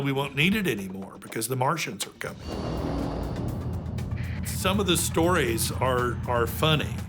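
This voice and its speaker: Mid-pitched man over clear music. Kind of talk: documentary interview commentary, anecdotal.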